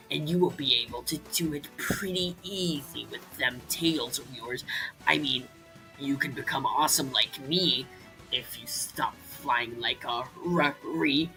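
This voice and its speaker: A man's voice: mid-range voice, pushed and bright, full character voice, rough recording. A man voicing a cocky, boastful, foul-mouthed dragon in an amateur cartoon dub, with a brash manner. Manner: brash, bragging. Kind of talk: amateur comic dub character acting.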